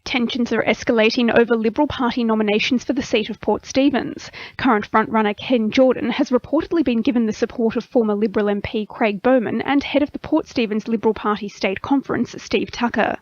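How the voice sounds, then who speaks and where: A woman's voice: fairly high pitch, a little fast, hardly any pauses, highs cut off. An Australian woman reading a scripted radio news bulletin as the station's news reader. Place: dry radio studio.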